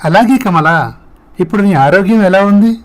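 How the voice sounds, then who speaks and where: A man acts out a lovelorn young office worker in a moral story. His voice sits a little high for a man, and he speaks with earnest persuasion. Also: normal speed, close to an everyday voice. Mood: concerned. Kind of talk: scripted moral story dialogue.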